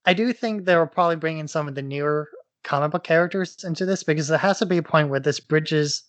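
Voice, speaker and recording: A man's voice: fairly high pitch, quick pace, dry recording. Man co-hosting a podcast, dry close sound. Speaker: podcast co-host. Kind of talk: casual podcast discussion.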